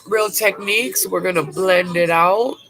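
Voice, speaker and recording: A woman's voice: on the low side, measured pace, a bit bright. A woman with a bad cold reviewing makeup live, chatty and blunt, dropping casual swear words as she goes. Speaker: makeup vlogger. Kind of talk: casual livestream product review.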